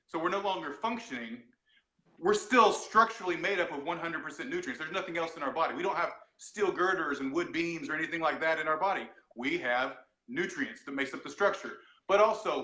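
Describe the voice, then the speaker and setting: A man's voice: a little high, bright, forceful tone, echoey room, rough recording. A man, a doctor, explaining health topics in a plain teaching tone from a home room on a video call. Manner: plain teaching tone, quick laughs. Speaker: doctor, guest expert. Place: home room with cushions for sound deadening.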